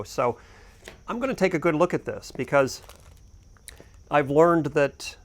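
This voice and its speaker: A man hosting a boating gear review, talking casually and off the cuff as he thinks aloud. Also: mid-range voice. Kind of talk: casual unboxing and review talk, unscripted.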